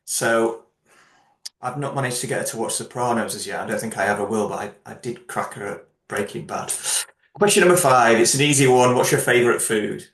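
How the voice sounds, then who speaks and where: A man's voice: mid-range voice, a little fast, a bit bright. A man hosting an interview, chatty and upbeat as he asks his guest questions. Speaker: host. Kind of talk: career interview. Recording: roomy echo.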